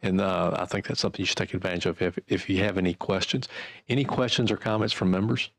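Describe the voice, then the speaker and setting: A man chairing a legislative committee hearing, speaking in a folksy, measured way into a desk microphone in a large committee room. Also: deep voice, steady tone, creaky.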